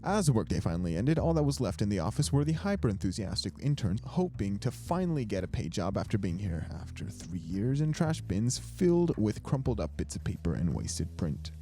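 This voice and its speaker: A man's voice: on the low side, very animated, a little fast, hardly any pauses, warm tone. A man narrating first-person fiction from a script in a wry, world-weary way, as an amateur audiobook reader. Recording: music behind the narration. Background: instrumental music.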